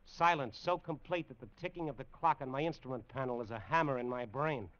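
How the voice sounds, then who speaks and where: An actor playing a lone test pilot, awed and straining, in a halting, dramatic old-time radio monologue. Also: male voice, medium pitch, a bit bright, lightly acted. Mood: hushed awe. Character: male test pilot alone in a rocket plane, awed, straining. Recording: dull, lo-fi vintage radio recording.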